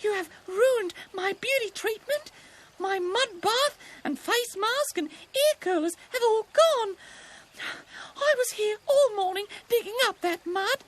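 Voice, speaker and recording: A woman's voice: a little high, animated, normal speed, few pauses, husky, pitched above the performer's own voice. A woman voicing a vain, fussy female rabbit in a children's story, prissy and dramatic. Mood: indignant.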